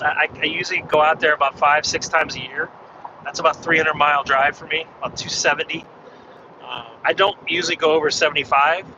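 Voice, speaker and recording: Guy losing his voice, rambly chat from the car, kinda high voice, muffled with loud road noise. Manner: rambling, chatty, restarts. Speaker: ham radio vlogger. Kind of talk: casual chat while driving. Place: inside a car on a freeway. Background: road and engine noise.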